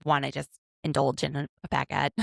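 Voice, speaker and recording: A woman in her thirties hosting a casual podcast from home, chatty and quick to laugh as she picks apart a reality show. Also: very deep voice, flat delivery, gravelly, a bit bright, no room sound, studio quality. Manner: chatty, laughs often, overanalyzing. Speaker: podcast host, attorney.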